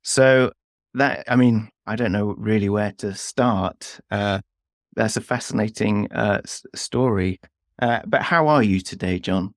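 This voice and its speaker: A man's voice: fairly low pitch, a little slow, no echo. Guy hosting a podcast, earnest and kinda hesitant, lots of uhs, mid voice, dry mic. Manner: earnest, hesitant, many fillers and restarts. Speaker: podcast host. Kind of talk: interview hosting.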